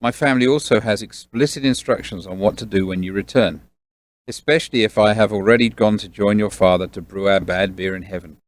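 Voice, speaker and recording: Man playing a low-voiced, fatherly family friend. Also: dry recording, close to an everyday voice. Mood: reassuring, earnest. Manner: measured, avuncular, reassuring. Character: fatherly, loyal banker friend reassuring a young boy. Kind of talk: audio drama acting.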